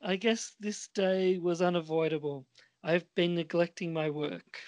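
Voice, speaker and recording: A woman playing a stern but yielding mother in an amateur radio play, measured and resigned. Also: lowish voice, fairly even intonation, a little mellow, no echo, lightly acted. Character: stern mother who reluctantly gives in to daughter.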